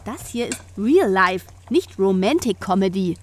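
A woman's voice: medium pitch, animated, a little slow. A woman playing a grumpy, lovesick young modern witch in a comic audio drama, exasperated and protesting. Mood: defiant, annoyed.

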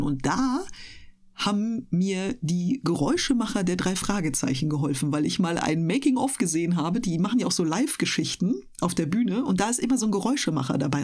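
Woman guest chatting away casually, voice a bit low and husky. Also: darkish tone. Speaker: interview guest, audiobook narrator and speaker. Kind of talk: casual chat.

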